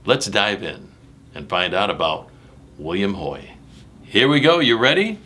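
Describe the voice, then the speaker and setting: Male picture-book reader for kids: bright, punchy voice, highly animated intonation, emphatic and direct to the listener. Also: a little slow, a little hiss or hum. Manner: dramatic, emphatic, addressing young listeners directly. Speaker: storyteller reading a picture book aloud to children. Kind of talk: animated read-aloud with asides about the pictures.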